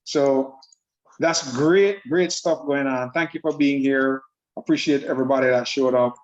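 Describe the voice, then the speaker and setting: A man's voice: mid-range voice, unhurried, highs cut off. A man presenting a trading system on an online group call, upbeat and laughing often, with rambling restarts. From Jamaica.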